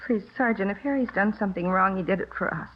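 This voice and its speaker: A woman's voice: mid-range voice, quick pace, slight background noise, close to an everyday voice. An actress playing a loyal, anxious young wife and mother in a 1950s radio drama, speaking politely and with earnest hesitation. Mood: pleading, distressed. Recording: dull, muffled old radio recording.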